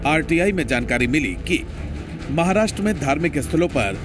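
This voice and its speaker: A man's voice: on the high side, animated, normal speed. A man reading a scripted news narration as a voice-over. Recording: music under the voice. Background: music.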